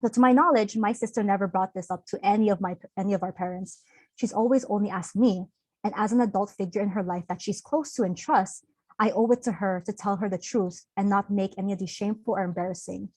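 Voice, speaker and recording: A woman's voice: medium pitch, fast, darkish tone, no room sound, low-quality recording. A young woman giving an online workshop talk over a video call, earnest and open, chatting naturally with fillers. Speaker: workshop presenter.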